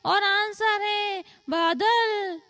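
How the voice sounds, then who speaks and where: Very high, bright cartoon fox. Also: female voice, steady tone, very slow, no room sound, heavily acted. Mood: earnest, eager. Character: earnest female fox in a cartoon fable. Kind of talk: animated moral story acting.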